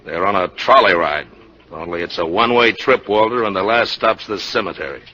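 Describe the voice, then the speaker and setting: A man acting a shrewd, excitable insurance investigator in 1950s radio drama, insistent and emphatic, on a noisy vintage recording. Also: fairly low pitch, fairly quick, dull audio. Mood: grimly certain. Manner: emphatic, insistent, repeats himself.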